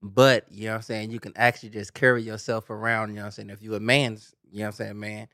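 A man's voice: on the low side, flat delivery, fast, pushed and bright, dry recording, studio quality. A man co-hosting a podcast, talking casually in slang and often saying "you know what I'm saying.". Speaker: podcast co-host.

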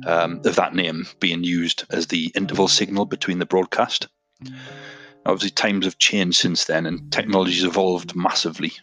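A man with a low-pitched voice narrates a podcast in a fairly even, flat intonation. His tone is bright and forceful, and he talks conversationally to his listeners over background music. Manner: conversational, addresses listeners directly. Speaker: podcast host. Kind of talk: scripted podcast narration with casual asides.

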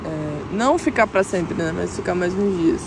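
A woman's voice: on the low side, expressive, moderate pace, background noise. A young woman vlogging outdoors in a casual, slang-filled reflective chat, speaking directly to her viewers. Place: outdoors on a clifftop by the beach.

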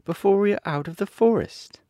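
A man voicing a brave young girl with a high, scolding tone in a storybook reading. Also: fairly quick, mellow, no room sound, studio quality. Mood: anxious.

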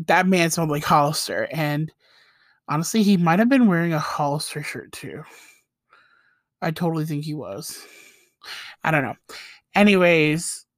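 A woman's voice: fairly low pitch, dry recording, studio quality. A young woman podcast host telling a story in a casual, chatty way, full of "like" and "you know" and laughing asides.

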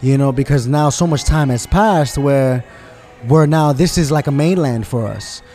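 A man's voice: mid-range voice, a little slow, warm tone, faint music behind. A man from New York in a casual conversation, talking emphatically and often asking "you understand?" to check he is followed. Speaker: guest.

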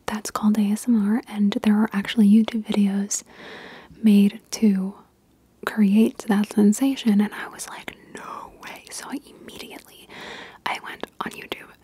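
A woman chatting informally and ramblingly in a breathy ASMR whisper, answering viewer questions as a video creator. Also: medium pitch, level tone, moderate pace, warm tone.

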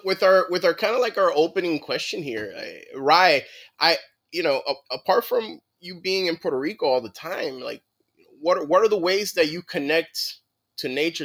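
A man's voice: a little high, expressive, normal speed, fairly bright tone. A man hosting a podcast in a friendly way, slipping Spanish words into English. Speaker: podcast host. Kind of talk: casual interview chat.

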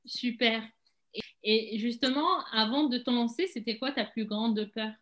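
A woman's voice: on the high side, unhurried, fairly bright tone. A woman hosting a podcast, who reads a scripted welcome and then asks brief questions. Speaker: podcast host. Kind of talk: podcast interview.

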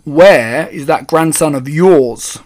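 A man's voice: fairly high pitch, takes it slowly, voice pushed up. A man voicing an angry, blustering middle-aged English father in a storybook, rude and spluttering. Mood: angry, suspicious. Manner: rude, shouting, spluttering, stammering when shocked.

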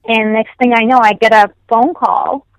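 A woman guest on an interview podcast, English not her first language, speaks with a fairly high voice in a dry, close recording. She tells her story with animation and plays out the dialogue she quotes. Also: steady tone, moderate pace, dry recording, lo-fi sound. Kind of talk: casual interview conversation.

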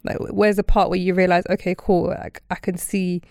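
A woman's voice: on the low side, flat delivery, brisk, darkish tone, no echo, clean studio sound. A woman interviewing in a casual, supportive conversation, with an empathetic, affirming way of talking.

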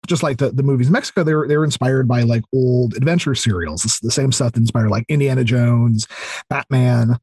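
A man in his thirties chatting casually as a podcast guest, explaining things enthusiastically in a halting way full of fillers. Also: mid-range voice, a little mellow, breathy edge, dry recording, clean studio sound. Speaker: podcast guest, audio drama creator. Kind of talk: casual interview chat.

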